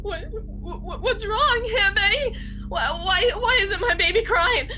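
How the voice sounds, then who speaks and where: A woman playing an anguished young mother in a radio drama, crying and pleading in halting, repeated words. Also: very high voice, lively intonation, bright, forceful tone, soft background music, full character voice. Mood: desperate, pleading. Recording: dull, clearly noisy recording.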